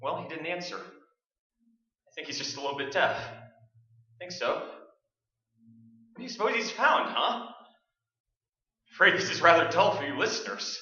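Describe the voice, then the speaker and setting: A man acts out a jittery broadcaster in a radio ghost drama. His bright, forceful voice chatters and stumbles nervously. It is heard from far off in a very echoey hall. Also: fairly high pitch, lively intonation, measured pace, pauses often. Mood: anxious, lonely. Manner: jittery, rambling, stammering. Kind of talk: staged radio play acting. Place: large hall stage, staged performance. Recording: very echoey stage recording from far off, muffled.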